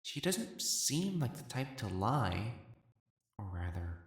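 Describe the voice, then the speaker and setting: A man playing an elegant, proper matchmaker in a dramatic audio roleplay, speaking formally and haltingly as he hides his jealousy. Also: mid-range voice, big pitch swings, husky, full character voice. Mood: flustered, agitated. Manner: formal, halting, stammering when flustered.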